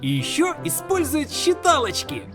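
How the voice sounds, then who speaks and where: An actor voicing an animated, informative talking encyclopedia helper in a children's cartoon. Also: high-pitched, moderate pace, faint music behind, exaggerated voice. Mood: helpful.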